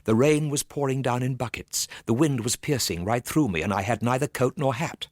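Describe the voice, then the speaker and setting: An actor playing an overwrought, gloomy minor civil servant in a radio ghost story, theatrical and suspenseful. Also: male voice, mid-range voice, moderate pace, no room sound, clean studio sound, natural voice. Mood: despairing panic. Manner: theatrical, suspenseful, dropping at times to hushed asides. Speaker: actor narrating a radio drama. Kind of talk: dramatic first-person ghost story narration for radio.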